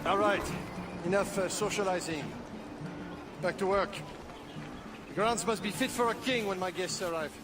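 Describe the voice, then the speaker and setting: A man's voice: high-pitched, moderate pace, long pauses, music in the background, close to an everyday voice. A man playing a pompous, callous colonial governor in a video game, haughty and dry in manner. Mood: condescending.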